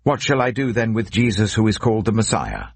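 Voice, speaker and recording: Exasperated Roman governor, played by a male narrator, emphatic and questioning, raised pitch, gravelly edge, for a scripture drama. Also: very animated, brisk, no room sound.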